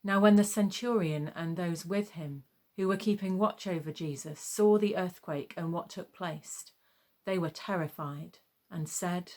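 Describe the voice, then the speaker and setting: Low-pitched woman narrating scripture. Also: a little slow, a little mellow. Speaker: gospel narrator.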